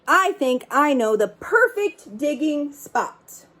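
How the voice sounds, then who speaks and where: Eager little kid pirate, lady reading a storybook, high voice, slowish. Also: big pitch swings, fairly bright tone, full character voice. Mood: eager, helpful. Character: eager young boy aboard a pirate ship.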